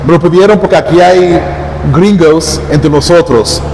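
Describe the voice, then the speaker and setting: A man preaching a sermon in Spanish with a Caribbean accent, reading scripture and praying, talking quickly with occasional stammers and restarts. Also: fairly high pitch, gravelly, darkish tone. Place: church hall. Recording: echoey church hall, faint background noise.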